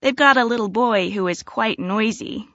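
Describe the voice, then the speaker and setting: An actress playing a friendly young woman who signs up for a social club, answering questions in a relaxed, clear way. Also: fairly high pitch, normal speed, no room sound. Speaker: new club member. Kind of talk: scripted listening-test dialogue. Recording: dry, slightly dull studio recording.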